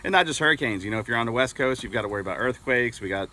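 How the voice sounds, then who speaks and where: A man's voice: medium pitch, expressive, racing, pushed and bright. American guy in his 40s doing a casual gear demo outside, kinda hesitant, explains stuff as he goes. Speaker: product reviewer. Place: outdoors in an open grassy yard with trees.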